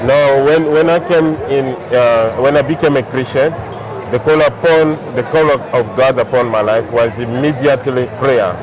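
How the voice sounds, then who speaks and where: A soft-spoken man from DR Congo, agreeing often as he answers. His voice sits at a middle pitch, with clear music behind it. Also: normal speed, crowd noise, poor audio. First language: French. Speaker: author and evangelist. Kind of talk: interview answers. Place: mall expo.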